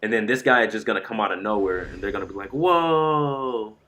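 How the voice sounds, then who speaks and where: A man streaming live about a fighting game, rambling and excitable, sounding stuffy from a sore throat. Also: on the low side, fairly quick. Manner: excitable, rambling, says "bro" a lot. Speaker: gaming streamer.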